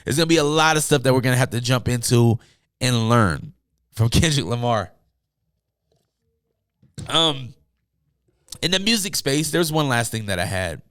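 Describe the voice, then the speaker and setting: A young man with a hoarse, tired voice hosting a casual solo podcast, rambling loosely with many fillers and asides from a room at home. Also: mid-range voice, lively intonation, normal speed, pauses often, fairly bright tone, no room sound, clean studio sound. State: hoarse, losing his voice. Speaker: podcast host.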